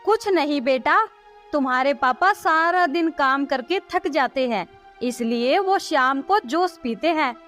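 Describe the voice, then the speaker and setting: A woman playing a bitter, scheming woman of the house in a dramatic, deliberate cartoon story style. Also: sits high, animated, a bit bright, soft background music. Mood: placating.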